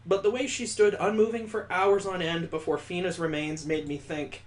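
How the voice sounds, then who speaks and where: A man's voice: sits high, steady tone, normal speed, lightly acted. Man reading as a brooding, obsessive young woman. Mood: somber.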